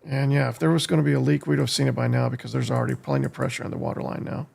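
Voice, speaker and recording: An American man streaming a game, giving casual live commentary in a halting way as he thinks aloud, with a gravelly, husky voice. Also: medium pitch, little pitch movement, darkish tone. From United States. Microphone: streaming desk microphone.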